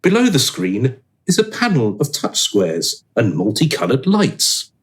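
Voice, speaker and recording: Measured male radio play narrator. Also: mid-range voice, huge pitch swings. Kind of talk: scripted scene-setting narration.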